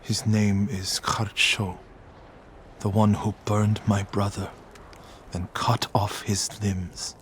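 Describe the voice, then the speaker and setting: Grieving, vengeful warrior monk. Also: male voice, lowish voice, little pitch movement, slow pace, a bit bright, slight background noise. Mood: grim, resolved. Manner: solemn, weighty, intense. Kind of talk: dramatic video game cutscene acting.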